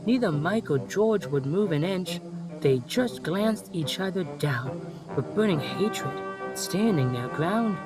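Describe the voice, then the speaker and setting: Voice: medium pitch, lively intonation, takes it slowly, over music. A narrator reading a scripted children's story in storybook style.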